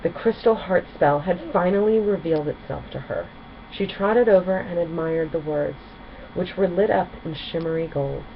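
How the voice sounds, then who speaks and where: A woman's voice: fairly low pitch, moderate pace. A woman storyteller narrating a children's book expressively. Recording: dull recording with faint noise.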